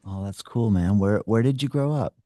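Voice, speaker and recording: Low, mellow-voiced male podcast host. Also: normal speed, dry recording, clean studio sound. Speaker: podcast host interviewing a guest. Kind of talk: casual conversational interview.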